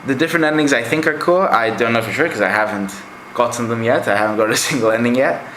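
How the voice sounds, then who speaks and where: A young man whose first language is Greek talks through his games in a loose, chatty way, full of "uh" and "like". His voice is bright and forward. The room is echoey. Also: medium pitch, fast talker, a little hiss or hum. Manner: rambling and chatty, with many fillers. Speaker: game collector vlogger. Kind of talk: casual game collection review.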